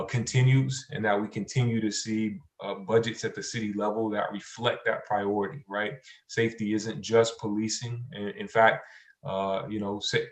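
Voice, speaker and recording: Low-voiced man speaking haltingly, roomy echo. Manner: halting, searching for words, repeats phrases. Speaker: community organizer on a panel. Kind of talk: unscripted panel remarks. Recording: roomy, echoing sound.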